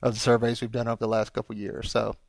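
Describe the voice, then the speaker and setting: A man's voice: mid-range voice, completely flat delivery, very fast, creaky, no echo. A man co-hosting a podcast, teasing and dry, losing his voice. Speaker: podcast co-host. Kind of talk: casual chat.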